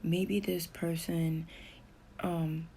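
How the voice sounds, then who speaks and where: Middle-aged woman half-whispering a long rambling story, slow, gravelly, a bit of hiss behind. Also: lowish voice, little pitch movement. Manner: whispery, hushed delivery; rambling, repeats herself. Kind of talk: personal spiritual testimony.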